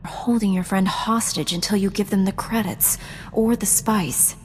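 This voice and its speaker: An actress playing a young Jedi knight heroine in a video game, speaking in a composed, measured, dryly teasing way. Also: female voice, medium pitch, steady tone, husky, close to an everyday voice. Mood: stern, questioning. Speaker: video game player character. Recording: long hall reverb, game music underneath.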